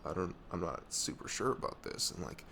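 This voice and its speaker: A young man playing a caring, protective best friend in an intimate ASMR audio roleplay, talking in a halting, soothing, earnest way that slips into whispers. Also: sits low, big pitch swings, creaky, a bit bright, a little hiss or hum, full character voice. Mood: gentle, earnest.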